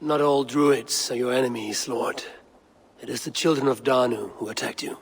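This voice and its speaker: An actor playing a calm, loyal Norse warrior in a video game, speaking in a resolute, respectful way. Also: male voice, medium pitch, moderate pace, a little hiss or hum, lightly acted.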